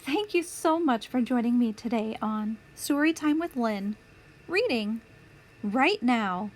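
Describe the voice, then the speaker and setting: Slow, high-voiced woman reading to children. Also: animated. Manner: deliberate, clearly phrased for young children. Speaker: storytime host reading a picture book aloud. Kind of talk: children's read-aloud narration.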